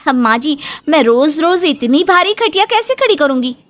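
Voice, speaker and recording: A woman's voice: high voice, sing-song, normal speed, background noise. A woman voicing a blunt, bossy young daughter-in-law in a comic family story. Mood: blunt, sassy. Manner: sassy, blunt.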